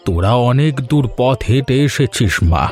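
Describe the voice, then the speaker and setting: A man voicing an affectionate, welcoming old village father in an animated Bengali family story. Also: mid-range voice, moderate pace, soft background music, close to an everyday voice. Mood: tender, welcoming.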